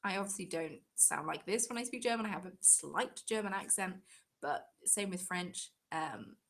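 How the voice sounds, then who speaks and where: A woman's voice: fairly low pitch, animated, normal speed, fairly bright tone. A young English woman hosting a craft channel's live stream, chatting casually and rambling with frequent ums.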